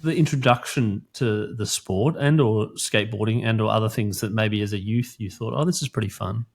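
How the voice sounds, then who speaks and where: A man's voice: lowish voice, moderate pace. A man hosting an interview podcast, asking loose, friendly questions with fillers. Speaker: podcast host.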